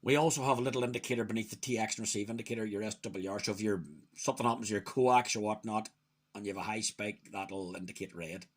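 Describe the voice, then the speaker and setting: A man giving a casual, chatty, opinionated hands-on radio hobby review, muttering to himself at times, in a husky voice. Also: lowish voice, fast. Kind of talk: casual hands-on product demonstration.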